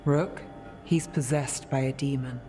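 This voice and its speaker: An actor playing an observant, dry male companion in a fantasy game, with a wry, measured way of talking. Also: medium pitch, fairly even intonation, mellow, close to an everyday voice. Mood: alert, serious. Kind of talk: cinematic fantasy game voice acting. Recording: clear music behind the voice. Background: game music.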